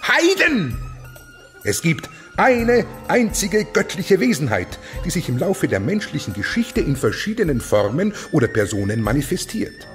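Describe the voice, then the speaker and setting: An actor playing a fanatical, haughty eunuch official in a radio play, declaiming and preaching with contempt. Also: male voice, a little high, wildly swooping pitch, a little slow, soft background music. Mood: fanatical, indignant. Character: fanatical, haughty male eunuch official ruling over monsters. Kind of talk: German radio play acting.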